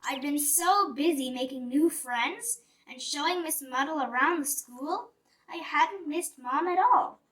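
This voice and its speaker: A woman reading a picture book aloud for young children in an animated, deliberate storytelling manner. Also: sits high. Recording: distant mic with music behind. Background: music.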